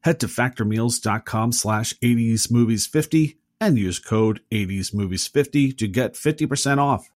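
A man's voice: medium pitch, no echo, clean studio sound. A man who hosts a podcast, reading a scripted advertisement. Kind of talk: scripted ad read.

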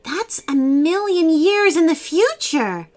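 An excitable young girl in a storybook adventure acted by a woman. The voice sits high and swings about with eager questions and exclamations, over faint music. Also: slow, heavily acted. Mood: astonished. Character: two curious, excitable young girls on an adventure.

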